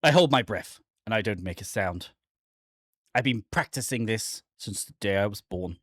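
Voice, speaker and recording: A man's voice: on the low side, expressive, moderate pace, a bit bright, dry recording, studio quality, pitched below the performer's own voice. Man acting out a haunted, brooding horror-game fugitive. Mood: uneasy, fearful. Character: haunted, guilt-ridden man lost in dark woods. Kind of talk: dramatic first-person reading of horror visual novel text.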